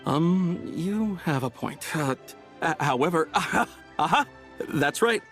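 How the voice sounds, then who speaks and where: A man's voice: on the high side, lively intonation, unhurried, fairly bright tone, faint music behind. A man voicing an ingratiating tea shop vendor in a video game, polite and nervous, with a stammering delivery. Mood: flustered.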